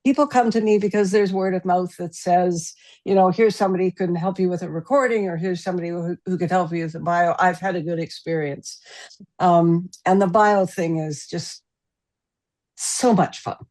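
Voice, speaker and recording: A woman's voice: fairly low pitch, steady tone, normal speed, darkish tone. A woman on a panel giving conversational advice to musicians in an emphatic way. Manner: emphatic, uses rhetorical questions and repetition. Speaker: panelist, music consultant and bio writer.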